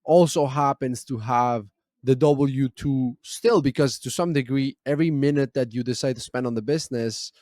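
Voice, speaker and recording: A man's voice: mid-range voice, normal speed, darkish tone, no room sound. Podcast host man, dry close sound. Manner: long winding questions with restarts. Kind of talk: interview questions.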